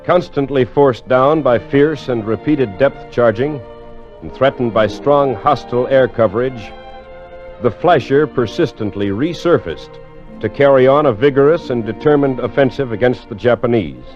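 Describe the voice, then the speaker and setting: A man's voice: medium pitch, moderate pace, a little mellow, soft background music, lightly acted. A man acting as a solemn, formal navy official in a vintage radio drama, reading in a stately, emphatic way. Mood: solemn, proud. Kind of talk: 1940s radio formal declamation. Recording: dull lo-fi vintage radio recording.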